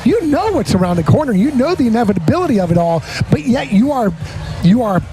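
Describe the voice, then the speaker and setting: A man speaking as a podcast host, casual and reflective, halting and repeating words as he builds a point. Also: extremely high pitch, big pitch swings, music in the background, rough recording. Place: live podcast at a restaurant.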